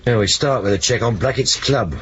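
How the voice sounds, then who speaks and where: A man's voice: medium pitch, brisk, background noise, natural voice. A man playing a dry, brusque police inspector in a 1970s radio drama, with a blunt, informal manner. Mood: dry, sardonic. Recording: dull lo-fi 1970s radio recording.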